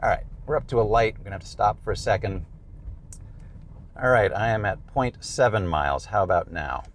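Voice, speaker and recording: Low-pitched American man reviewing gear. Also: fairly quick. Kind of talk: explanatory product review.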